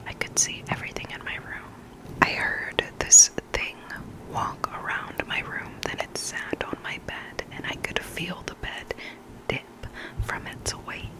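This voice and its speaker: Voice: measured pace, rough recording. A person whispering scary stories in an ASMR reading style, close to the microphone, sometimes repeating words. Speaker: ASMR reader of listener stories. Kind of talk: whispered ASMR storytelling, reading creepy anecdotes.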